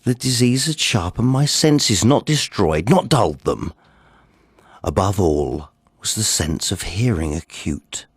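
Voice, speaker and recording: A man performing a nervous, insane murderer confessing in a dramatic Gothic story reading, theatrical and shifting between hushed and excited delivery. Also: on the low side, a little slow, no echo, studio quality, exaggerated voice. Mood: manic, insistent. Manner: theatrical, drops into breathy whispers, swings to excited outbursts. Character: nervous madman murderer confessing, insisting on his sanity.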